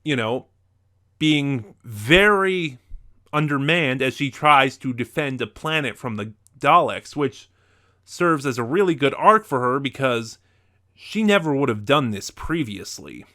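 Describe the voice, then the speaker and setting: A man's voice: medium pitch, expressive, measured pace, bright, forceful tone. A man presenting a semi-scripted review to viewers as a video host.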